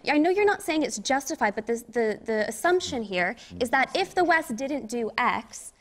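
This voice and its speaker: A woman arguing on a debate panel, assertive and quick to jump in. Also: fairly high pitch, animated. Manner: assertive, interrupting. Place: televised debate before an audience.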